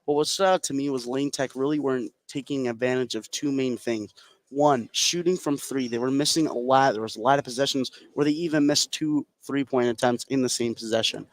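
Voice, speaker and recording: A man's voice: mid-range voice, brisk. A man giving live basketball analysis as a color commentator.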